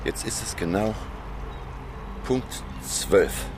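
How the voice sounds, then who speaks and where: An actor playing an earnest professor who recounts a sea voyage in measured, dramatic radio play narration with a gravelly voice. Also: male voice, fairly low pitch, noisy background. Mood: urgent, questioning. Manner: measured, storytelling. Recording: loud sound effects behind the voice.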